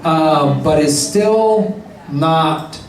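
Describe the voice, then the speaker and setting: A man's voice: on the high side, extremely slow. A man hosting a live comedy show, telling a story with sarcastic, profane asides. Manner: joking, profane, sarcastic asides between facts. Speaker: comedy podcast host. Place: live venue before an audience. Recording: echoey live room, distant mic, crowd.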